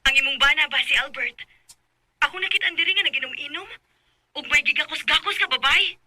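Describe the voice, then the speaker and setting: A woman's voice: high-pitched, fast, sharp tone. An actress playing an urgent, tattling woman friend on the telephone in a Visayan radio drama, with a Cebuano Bisaya accent.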